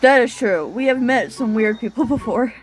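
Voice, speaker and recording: A woman's voice: fairly high pitch, animated, moderate pace, loud music behind the voice. A woman recording a game playthrough, giving casual, exclamatory live reactions in short informal remarks.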